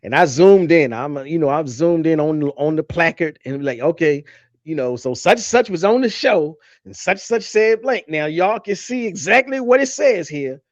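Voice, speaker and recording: A man's voice: on the high side, animated, normal speed, no echo. An American man in a casual online discussion, rambling as he retells things and quotes himself. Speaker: online commentator. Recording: slightly dull, compressed online audio.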